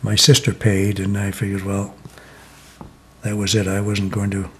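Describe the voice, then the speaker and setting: An older man in his seventies from Connecticut, reminiscing slowly in a sit-down oral history interview, with many pauses and "uh" fillers. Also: on the low side, monotone, slight background noise. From Connecticut, USA. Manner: slow, reflective, many "uh" pauses. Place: living room, seated in armchair.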